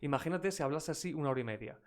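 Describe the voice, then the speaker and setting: A man's voice: a little high, expressive, very fast. A man from Spain, a native Spanish speaker with a British-leaning English accent, chatting energetically on a live stream as an English teacher and reacting to clips.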